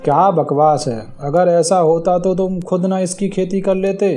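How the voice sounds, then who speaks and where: A man voicing an irritable, grudgingly kind young vegetable seller in an animated moral story. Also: high voice, normal speed, soft background music, lightly acted. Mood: scornful. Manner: grumbling, exclaiming.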